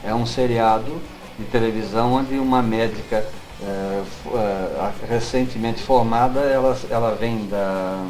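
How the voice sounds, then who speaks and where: A middle-aged man with a Brazilian accent, reminiscing as an interview guest in an unhurried, halting way, searching for his words. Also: medium pitch, steady tone, darkish tone, slight background noise, low-quality recording. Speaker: guest writer.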